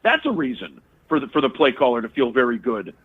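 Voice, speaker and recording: A man's voice: medium pitch, big pitch swings, fairly quick, slightly rough. A man, a sports radio broadcaster, giving casual interview analysis of college football, often restarting his phrases as he thinks. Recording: tinny phone line.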